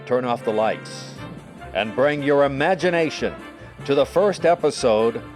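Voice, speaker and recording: A man's voice: medium pitch, measured pace, soft background music. A man announcing a radio drama program in a scripted, dramatic introduction style.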